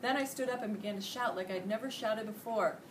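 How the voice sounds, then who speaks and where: A woman's voice: medium pitch, a little fast. American woman reading aloud, distant mic. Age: middle-aged. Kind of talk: children's storybook read-aloud. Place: indoor school classroom. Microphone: distant camera microphone. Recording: distant camera mic, noisy room.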